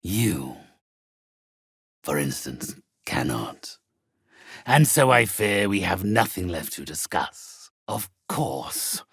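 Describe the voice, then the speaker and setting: An actor playing a sinister, haughty undead necromancer in a video game, with a raspy voice and formal, drawn-out, menacingly polite delivery. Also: male voice, low voice, sing-song, fairly bright tone, dry recording, clean studio sound, heavily acted. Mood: mocking disdain. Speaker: spirit medium. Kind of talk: theatrical video game villain acting.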